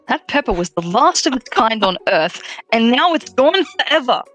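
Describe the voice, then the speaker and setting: Casting: woman as exaggerated comedy game character, dramatic and teasing, fairly high, very lively intonation. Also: normal speed, faint music behind.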